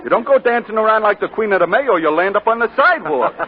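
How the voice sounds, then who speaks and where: A man acting a gruff, wisecracking working-class sign painter with slangy, brash talk in a 1940s radio drama. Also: high voice, expressive, fast talker. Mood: scolding, brash. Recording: hissy, phone-like 1940s radio recording.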